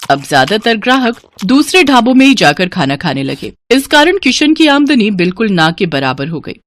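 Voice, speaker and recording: Female storyteller for scripted moral tales; medium pitch, highly varied intonation. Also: sing-song, normal speed, no room sound.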